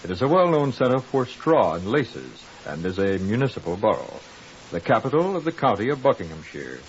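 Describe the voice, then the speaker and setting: An actor as a formal narrator, giving precise scripted exposition in a 1950s radio drama. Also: male voice, fairly low pitch, very animated, moderate pace. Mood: informative. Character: informative documentary-style radio narrator. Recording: dull, hissy 1950s radio recording.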